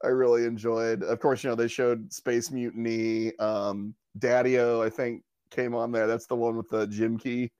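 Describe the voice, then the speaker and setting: A man's voice: mid-range voice, little pitch movement, moderate pace, hardly any pauses, a little mellow, clear, resonant tone, dry recording, lo-fi sound. A man co-hosting a podcast, chatting casually about films and TV in an informal, amused way. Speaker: podcast host.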